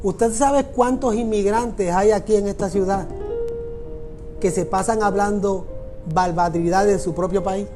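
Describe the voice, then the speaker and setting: A man's voice: high-pitched, steady tone, measured pace, music in the background. A middle-aged man from Puerto Rico with a Puerto Rican Spanish accent, preaching a sermon and repeating phrases for emphasis. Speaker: pastor.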